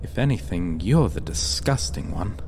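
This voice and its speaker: A man playing a possessive, suave vampire in a gothic ASMR roleplay, speaking in a theatrical, taunting way with drawn-out menacing endearments. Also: low voice. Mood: taunting, indignant. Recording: steady noisy ambience under the voice.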